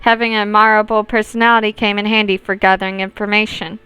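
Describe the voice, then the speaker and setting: A woman's voice: medium pitch, little pitch movement, quick pace, pushed and bright, clean tone, no room sound, poor audio. A woman reading a visual novel's narration and dialogue aloud as she plays through it. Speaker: gaming video host reading aloud.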